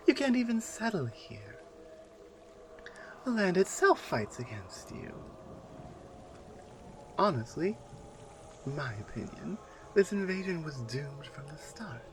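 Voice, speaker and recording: A man playing a menacing, taunting non-human warrior captor in an ASMR roleplay, speaking deliberately and with command. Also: on the high side, very animated, background noise. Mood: smug, menacing. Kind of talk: ASMR roleplay audio drama.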